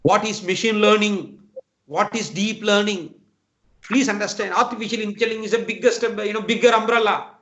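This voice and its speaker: A man with a high voice and a Tamil Nadu Indian English accent lectures students over a dull, lo-fi online call. He talks earnestly and repeats his points, often calling his listeners "my dear friend". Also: normal speed, a bit bright, a little hiss or hum. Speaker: guest speaker. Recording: dull lo-fi online talk audio.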